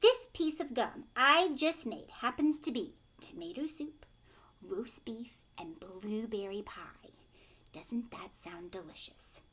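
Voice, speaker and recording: A woman voicing an excitable, proud male candy inventor with grandiose, emphatic delivery, as in a storybook reading. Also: on the high side, takes it slowly, husky, full character voice. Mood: grand, proud.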